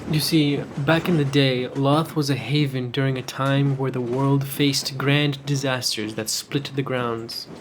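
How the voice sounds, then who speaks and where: A man's voice: medium pitch, steady tone, unhurried, hardly any pauses, background noise. A man co-narrating a fiction podcast in a scripted, conversational storytelling style, with an informal manner.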